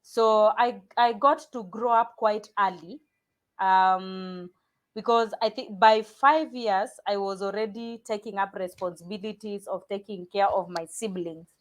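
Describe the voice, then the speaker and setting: A woman's voice: mid-range voice, unhurried. A woman from Kenya, a pastor talking casually in an interview, often restarting sentences and repeating words. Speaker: pastor and guest.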